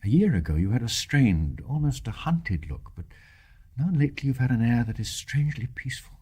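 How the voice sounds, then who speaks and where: An actor playing a devoted, bedridden English husband in a radio drama, husky, earnest and well-spoken in Received Pronunciation, brave and tender with bitter despair underneath. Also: male voice, mid-range voice, sing-song, a little fast, warm tone. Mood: adoring. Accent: British Received Pronunciation. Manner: earnest, old-fashioned upper-class phrasing.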